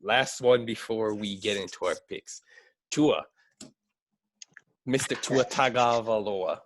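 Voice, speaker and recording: Relaxed man steering a sports chat. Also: lowish voice, slow, a little hiss or hum. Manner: relaxed, steers the talk, short agreeing replies. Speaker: podcast co-host.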